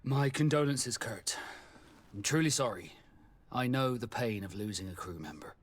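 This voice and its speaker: An actor playing a quietly sympathetic, sombre seafaring man in a video game, with a husky voice. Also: medium pitch, expressive, normal speed. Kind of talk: dramatic video game acting.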